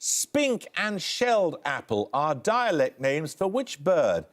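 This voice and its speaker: A man hosting a quiz show, reading questions crisply and briskly in a studio. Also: on the high side, very animated. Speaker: quizmaster. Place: television studio.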